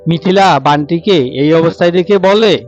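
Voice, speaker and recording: A man's voice: on the high side, normal speed, darkish tone, muffled recording, faint music behind. A man narrating a scripted cartoon story as its storyteller.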